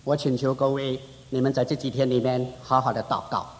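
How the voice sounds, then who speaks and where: A man's voice: mid-range voice, level tone, brisk. A man preaching a fervent sermon at a church conference. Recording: reverberant hall.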